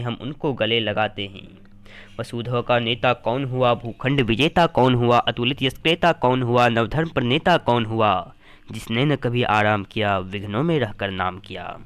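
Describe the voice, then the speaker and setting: A man recites epic poetry in a medium-pitched voice. His delivery is declamatory and dramatic. He moves through the verse in short, measured phrases with a firm sense of rhythm. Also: a bit bright, clean tone.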